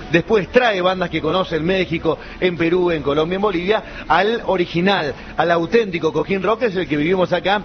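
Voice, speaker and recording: An Argentine man hosting a live radio broadcast with commentary from a festival. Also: high voice. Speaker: radio host. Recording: dull broadcast sound with clear noise.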